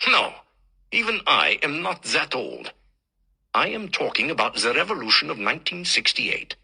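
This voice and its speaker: A man's voice: on the high side, big pitch swings, normal speed, creaky, pushed and bright, slightly rough, exaggerated voice. A man doing a barking old German professor. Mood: imperious. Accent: thick German (put on). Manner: barking, thundering, pompous. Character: imperious German professor, man over a hundred.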